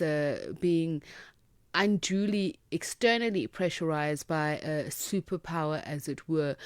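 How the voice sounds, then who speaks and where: A woman, hosting a current affairs interview and asking long, formal questions in a measured way. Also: fairly low pitch, no room sound, clean studio sound. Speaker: radio interviewer.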